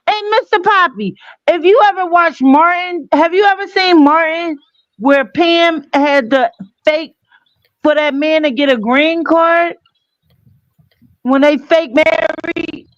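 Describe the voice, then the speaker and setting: A woman's voice: sits high, lively intonation, unhurried, no echo, highs cut off. A woman from Trinidad, a caller in a casual live chat, insistently repeating her point in a heated debate.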